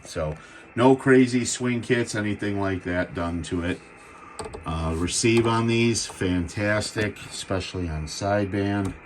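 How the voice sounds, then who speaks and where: A man's voice: fairly low pitch, a little slow, background noise. A man giving a casual, plain-spoken product demo in the style of a seller's vlog. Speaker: radio seller demonstrating gear. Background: CB radio running in the background.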